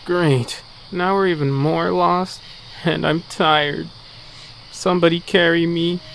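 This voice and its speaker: A man's voice: fairly high pitch, fairly even intonation, takes it slowly, gravelly, fairly bright tone, a little hiss or hum, heavily acted, poor audio. A man playing a tired, grumbling, whiny little creature in an audio story. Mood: weary, whiny.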